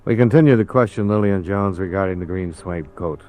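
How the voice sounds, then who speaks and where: An actor playing a hardboiled insurance investigator, terse and matter-of-fact, narrating and acting in an old radio noir drama. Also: male voice, deep voice, a little fast, darkish tone, lightly acted. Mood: factual narration.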